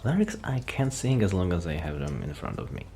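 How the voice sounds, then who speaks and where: Male, low-voiced, soft ASMR delivery bordering on a whisper; shy, halting roleplay ramble, slightly noisy recording. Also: sing-song. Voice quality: soft, breathy, often near a whisper. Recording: noticeable background noise.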